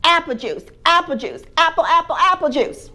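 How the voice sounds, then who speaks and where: A woman storyteller voicing a demanding baby boy who whines insistently in a high voice. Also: big pitch swings, moderate pace, bright, forceful tone, full character voice. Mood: whiny. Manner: high, whiny, insistent chant.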